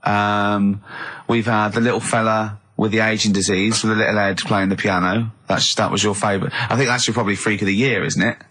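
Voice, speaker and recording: A man hosting comic radio chat, teasing and challenging, quick to crack up. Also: fairly low pitch, level tone, sharp tone. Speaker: radio show host.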